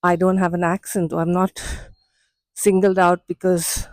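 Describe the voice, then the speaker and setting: A woman's voice: fairly low pitch, flat delivery, no room sound. A woman with an Indian English accent, talking to the camera in a walk-and-talk vlog as she walks along outdoor city streets. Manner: measured, unhurried explaining. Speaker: vlogger and coach.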